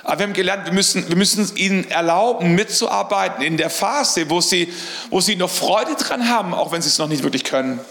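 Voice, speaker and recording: A man's voice: sits high, a little fast, vocal fry, fairly bright tone, reverberant. A middle-aged man preaching a sermon as pastor, earnest, often repeating words as he searches for the phrasing.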